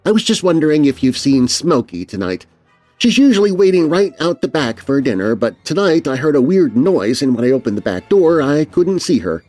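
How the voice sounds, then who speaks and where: A man's voice: on the high side, big pitch swings, moderate pace, warm tone, voice pushed up. Man voicing a courteous, worried lady neighbor. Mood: polite, concerned. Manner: courteous, concerned. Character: polite, worried female next-door neighbor.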